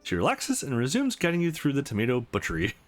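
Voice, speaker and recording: Male let's play narrator, hugely varied pitch, expressive story reading, light music behind. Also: quick pace. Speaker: let's play host reading a visual novel aloud. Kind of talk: expressive read-aloud of game narration and dialogue.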